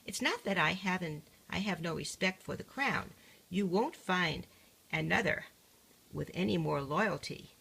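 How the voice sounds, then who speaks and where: Woman reading as a genteel, flustered duchess, creaky voice. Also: lowish voice, animated, a little slow, pushed and bright, a little hiss or hum, heavily acted. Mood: apologetic, flustered. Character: polite, flustered, chatty duchess in a rhyming picture book.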